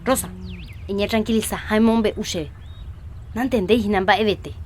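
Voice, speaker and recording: A woman's voice: medium pitch, moderate pace, a little hiss or hum, lightly acted. A woman playing a caring, busy catechist in a Paraguayan radio soap opera, with a Paraguayan accent. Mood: concerned. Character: Juliana, caring, busy catechist woman organizing a village festival.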